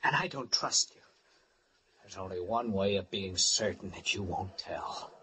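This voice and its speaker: A man's voice: sits low, unhurried, pushed and bright. Creepy paranoid handyman in old radio drama, controlled and menacing, a bit of noise on it. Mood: threatening. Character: menacing, paranoid handyman holding a woman captive. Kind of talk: 1940s-50s radio thriller acting. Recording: noisy old-time radio recording.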